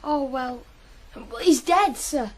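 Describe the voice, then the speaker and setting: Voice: sits high, slow. A performer playing a small, eager, nervous fourteen-year-old boy, polite and hesitant in a radio drama.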